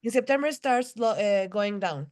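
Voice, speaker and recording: A woman's voice: mid-range voice, lively intonation, moderate pace, a little mellow. A woman hosting a roundtable, giving brief, friendly answers and wrapping up the call. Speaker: roundtable host. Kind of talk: casual video call roundtable.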